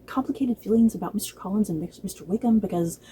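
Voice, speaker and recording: Chatty woman vlogger with a mellow voice. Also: mid-range voice, fast talker, a little hiss or hum, low-quality recording. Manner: gushing, playfully exasperated fan talk; chatty, informal, addresses viewers directly. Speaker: book and drama review vlogger. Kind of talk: casual review chat to camera.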